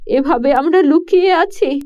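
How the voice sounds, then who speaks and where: A woman's voice: high-pitched, measured pace, a little mellow, lo-fi sound, pitched above the performer's own voice. A woman voicing a worried young queen in exile, speaking in a plaintive, fretful way. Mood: anxious.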